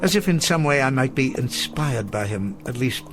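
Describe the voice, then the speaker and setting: An actor playing a weary, self-doubting elderly schoolteacher, speaking formally and eloquently in a radio drama. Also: male voice, mid-range voice, animated, normal speed, noisy background, close to an everyday voice. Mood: wistful.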